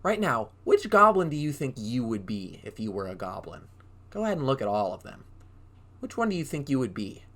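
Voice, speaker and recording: A man reading a story to young children as an acting teacher, expressive and often putting questions to his listeners. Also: medium pitch, brisk.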